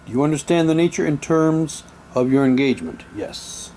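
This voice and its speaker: A man's voice: a little high, moderate pace, dark tone. A man in a casual unboxing commentary, reading documents aloud and musing to himself as he goes. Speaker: reviewer showing mail-order radio drama props. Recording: clear background noise, room ambience.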